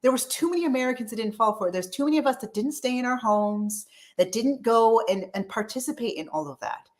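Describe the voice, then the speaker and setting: A woman's voice: fairly high pitch, lively intonation, fast talker. A woman in her thirties from California, talking politics in a rambling, emphatic way with many restarts. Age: 30s. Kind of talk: casual political chat.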